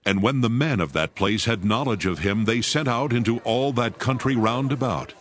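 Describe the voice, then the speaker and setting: A man narrating scripture in a measured, solemn, scripted style. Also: medium pitch. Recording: music bed behind voice. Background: music.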